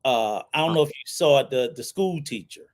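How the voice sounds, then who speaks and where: A man's voice: medium pitch, expressive, brisk. A man hosting a casual discussion, blunt and profane, often backing the others with "mm-hmm". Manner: blunt, swears, lots of "mm-hmm" agreement. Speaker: host.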